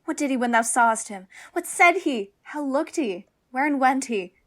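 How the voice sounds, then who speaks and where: A woman acting a witty, lovestruck young noblewoman in disguise in a Shakespearean radio play, theatrical and quick-witted. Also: high voice, lively intonation. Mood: flustered excitement.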